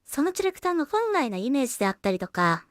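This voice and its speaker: Female creator, fairly high voice, casual working commentary half to herself, close dry sound. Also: sing-song, fast talker, no echo. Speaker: solo animation creator and voice actor. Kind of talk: casual production commentary, thinking aloud.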